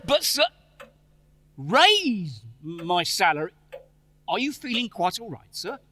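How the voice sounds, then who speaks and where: Man as timid stammering clerk, sharp high voice, swooping intonation, deferential, apologetic, radio drama. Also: very animated, slow, full character voice. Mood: bewildered. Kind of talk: stage radio play acting.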